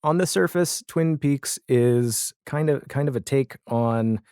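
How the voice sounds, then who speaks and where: A man chatting casually as a podcast guest, often pausing to think aloud. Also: medium pitch, darkish tone, clear, resonant tone, dry recording, clean studio sound. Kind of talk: casual chat.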